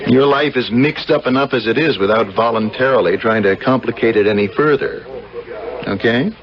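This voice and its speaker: Actor as a frank brother, muffled radio drama. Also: male voice, medium pitch, moderate pace, lightly acted. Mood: earnest, concerned. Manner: bantering, brotherly, earnest. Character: frank, affectionate brother, a sensible brain specialist. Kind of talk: 1970s radio drama acting. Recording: muffled old radio broadcast.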